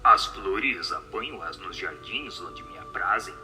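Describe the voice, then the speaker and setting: Man acting a solemn, low-voiced spirit. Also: lively intonation, slow pace, sharp tone, faint music behind, full character voice. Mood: solemn, assured. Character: summoned spirit answering questions, solemn and assured.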